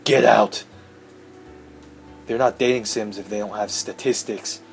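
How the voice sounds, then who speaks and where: A man voicing a bookish, zealous high-school boy in a visual novel, preachy and insistent about anime. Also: fairly even intonation, unhurried, vocal fry, soft background music. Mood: indignant.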